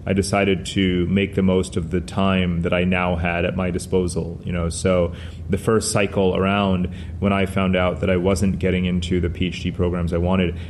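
Middle-aged guest, Hindi native speaking English, lowish voice, rambling and reflective, faint hiss. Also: male voice, little pitch movement, normal speed, darkish tone. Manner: discursive, frequent "you know" fillers. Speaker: guest author. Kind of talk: conversational interview answers.

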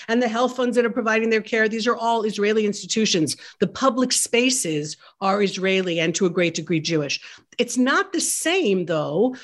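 A woman's voice: a little high, a bit bright. A woman hosting a webinar interview, asking long, carefully built questions. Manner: probing, builds long questions. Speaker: host.

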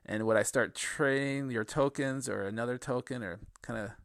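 A man's voice: mid-range voice, normal speed, vocal fry, fairly bright tone, dry recording, clean studio sound. A man hosting a podcast interview, asking friendly questions with many fillers. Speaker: podcast host.

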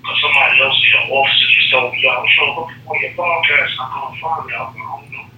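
Caller: man on a muffled prison phone line, fast and streetwise, with a boomy, distant echo. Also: steady tone, a little hiss or hum. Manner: streetwise, emphatic, rambling. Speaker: podcast guest calling in. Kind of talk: casual phone conversation. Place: federal prison.

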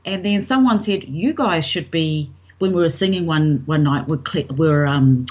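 A woman from New Zealand with a New Zealand accent, a singer chatting in an interview, filling pauses with um. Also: low voice, a little mellow. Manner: chatty, fills with um, quotes others. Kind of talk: casual interview answers. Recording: dull lo-fi audio.

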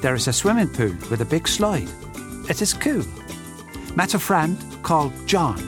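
An actor reading letters as a blunt, chatty young schoolboy with a simple, childlike way of talking. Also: male voice, fairly high pitch, very animated, normal speed, over music. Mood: chatty, matter-of-fact. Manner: childlike, simple run-on telling. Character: young schoolboy writing blunt, chatty notes to his friend.

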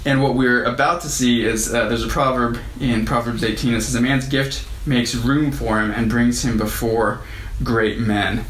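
A man's voice: mid-range voice, fairly even intonation, moderate pace, hardly any pauses, a bit bright. A man teaching a Bible lesson as a pastor, explaining verses in a conversational way and lifting his voice when he reads scripture aloud. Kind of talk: sermon teaching through scripture. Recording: echoey room with faint ambience.

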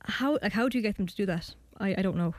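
A woman's voice: medium pitch, fast talker, dry recording, studio quality. A young Irish woman talking thoughtfully about her documentary and narrating it. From Ireland. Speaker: guest and documentary maker. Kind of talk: interview chat and scripted narration.

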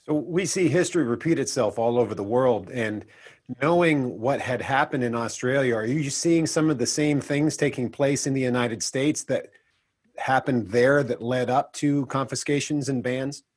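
A man's voice: mid-range voice, moderate pace, husky. A man co-hosting an interview show, introducing a guest and asking earnest questions with some restarts. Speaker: co-host. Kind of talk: podcast interview.

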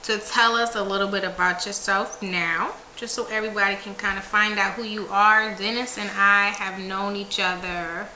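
A woman's voice: medium pitch, flat delivery, moderate pace, few pauses, sharp tone, roomy sound, slight background noise, low-quality recording. A woman in her twenties from South Carolina, hosting a podcast in a casual, upbeat, encouraging way. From South Carolina, USA. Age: 20s. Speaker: podcast host.

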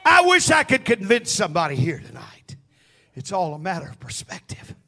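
A man's voice: sits high, huge pitch swings, moderate pace, sharp tone, a faint crowd. A man preaching a sermon, emphatic and calling on the congregation to answer back. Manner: emphatic, calls on the congregation to respond.

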